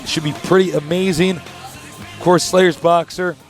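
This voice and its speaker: Man, young adult, esports commentator, hyped, joking, higher-pitched voice, faint music behind. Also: fairly even intonation, unhurried. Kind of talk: live play-by-play commentary. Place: packed event studio.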